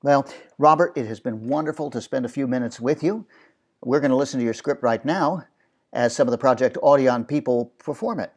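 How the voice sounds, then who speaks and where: A man's voice: mid-range voice, brisk, a little mellow. A man hosting an interview, putting questions to his guest and introducing what comes next. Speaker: host, interviewer.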